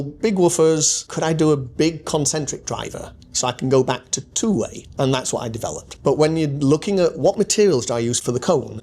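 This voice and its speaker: A man's voice: fairly high pitch, a little mellow, breathy edge. A man in his sixties explaining technical details conversationally as an interviewed designer, often posing questions and answering them himself. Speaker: loudspeaker designer being interviewed. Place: hotel demo room at an audio show. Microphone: small handheld mic.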